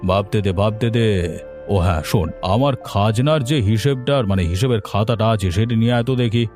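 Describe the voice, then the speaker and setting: Man as domineering village landlord, dark voice, brooding, vain, cartoon villain, faint music. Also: fairly low pitch, brisk, natural voice. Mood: brooding menace. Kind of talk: Bengali fairy-tale cartoon dialogue.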